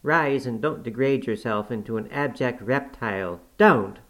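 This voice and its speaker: A man voicing a proud, quick-tempered young woman who scolds sharply. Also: medium pitch, animated, a bit bright. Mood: angry.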